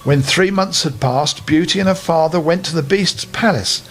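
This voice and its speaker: A man's voice: fairly high pitch, moderate pace, faint music behind. An older man reading a fairy tale expressively to children as a storyteller, with friendly asides. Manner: animated, with friendly asides to young listeners.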